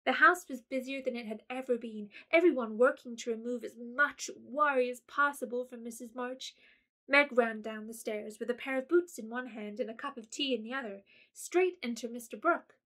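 A woman narrating a period story in a measured, expressive way. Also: a little high.

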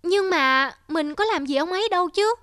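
A woman's voice: very high-pitched, animated, normal speed, fairly bright tone, no room sound, studio quality. A woman voicing a playful, polite little village boy in a cartoon. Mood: sulky. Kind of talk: cartoon character acting. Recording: music under the voice.

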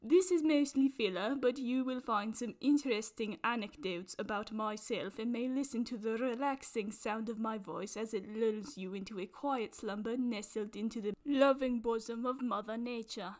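A woman's voice: on the high side, moderate pace, few pauses, natural voice. A woman doing a pompous British nature narrator. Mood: mock-solemn. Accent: put-on British. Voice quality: mock-solemn, pompous deadpan delivery. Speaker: host. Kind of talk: parody nature documentary narration.

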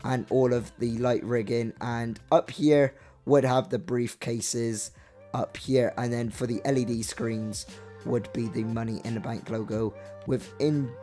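A young man with a slightly croaky, mellow voice chats his way through a game walkthrough. He rambles loosely, piling on "like" and "so". Faint music plays softly underneath. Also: mid-range voice. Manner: chatty, rambling, lots of "like" and "so". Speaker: Minecraft builder showing his map. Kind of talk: casual walkthrough narration.

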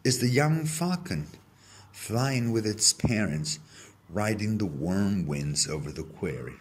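Man, expressive children's story reader, deep voice, unhurried pace, faint background hiss. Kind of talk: expressive read-aloud of a children's story, told in first person.

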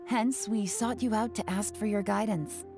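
Woman voicing a sly game spy, polished smooth delivery, game music underneath. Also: medium pitch, normal speed, natural voice. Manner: smooth, polished, playfully deceptive. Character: cool, cunning adult female spy.

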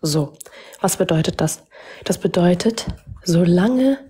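A woman's voice: lowish voice, lively intonation, slow pace, dark tone, husky. A woman calling into a live debate, asking earnest questions with many hesitations. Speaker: caller.

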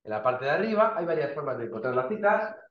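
A man's voice: on the high side, sing-song, fast. A male university lecturer, explaining slides informally with everyday examples and frequent restarts. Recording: dull, roomy lecture recording.

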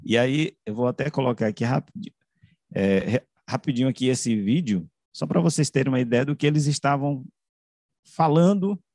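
A man with a Brazilian accent giving an explanatory academic presentation over a video call, halting as he repeats and corrects his words. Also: mid-range voice, a little mellow, no room sound, studio quality. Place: indoor room, on a video call. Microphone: video call microphone.

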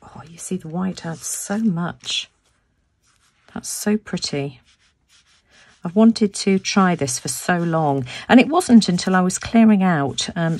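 A woman with a croaky voice talking through a craft demonstration at her table, thinking aloud as she works and often repeating words. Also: fairly low pitch, a little mellow, no echo. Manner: chatty, thinking aloud with restarts. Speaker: craft video host. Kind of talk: casual craft tutorial narration. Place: home craft room, tabletop.

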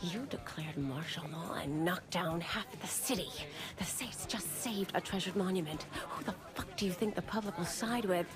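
A woman's voice: fairly low pitch, moderate pace, over music, a crowd in the background, natural voice. An actress playing an assertive, composed woman who speaks firmly and pointedly. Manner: firm, pointed.

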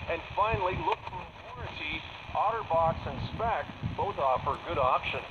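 A man's voice: a little high, lively intonation, normal speed. A man working as a consumer reporter, reading a scripted news report. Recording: noisy, dull shortwave radio reception.

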